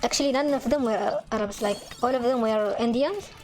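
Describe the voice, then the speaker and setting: A young woman with an Arabic accent, a native Arabic speaker, chatting casually over gameplay in halting English full of restarts and fillers. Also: a little high, soft background music. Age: young adult. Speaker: gaming channel host.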